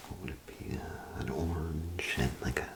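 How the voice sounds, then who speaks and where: A man doing an ASMR role play as a clothing salesman, with gentle, hesitant, unhurried patter. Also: very deep voice, vocal fry, background noise.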